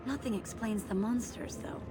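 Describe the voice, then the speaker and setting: An actress playing an earnest, apprehensive young elf mage in a fantasy video game, speaking in a measured way. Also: female voice, fairly high pitch, background noise, natural voice. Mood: pensive, worried. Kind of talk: video game character dialogue. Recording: loud game music behind.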